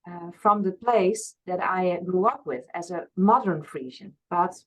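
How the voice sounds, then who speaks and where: A woman from Friesland with a Dutch accent, speaking as an interview guest, talking in a thoughtful, searching way with frequent restarts and soft trailing endings. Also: fairly low pitch, a little slow, rough recording. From Friesland, Netherlands. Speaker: interview guest, past life regression therapist. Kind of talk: reflective conversational interview.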